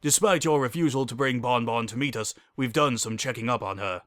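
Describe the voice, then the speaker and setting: Man playing a formal, bright-voiced noble unicorn father. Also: mid-range voice, no room sound, studio quality, lightly acted. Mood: firm, explanatory. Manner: formal, measured, matter-of-fact. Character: stuffy, composed middle-aged noble unicorn father.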